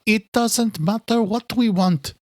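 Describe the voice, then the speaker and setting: A man's voice: sits high, slow pace, no room sound, studio quality, pitched above the performer's own voice. A man voicing a refined, commanding married duchess with a proper, ladylike manner in an audiobook thriller. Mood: firm, reassuring.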